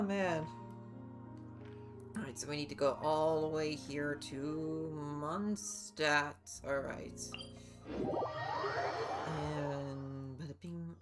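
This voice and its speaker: A woman livestreamer giving casual gameplay commentary, chatty and musing aloud. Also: lowish voice. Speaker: game livestreamer. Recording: game music behind.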